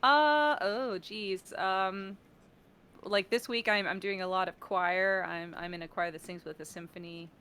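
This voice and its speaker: Bright, animated woman chatting. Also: mid-range voice, measured pace, clean tone. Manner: animated, self-mocking, acts out quoted remarks. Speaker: guest, voice artist and sound designer. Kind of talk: casual interview chat on a live stream.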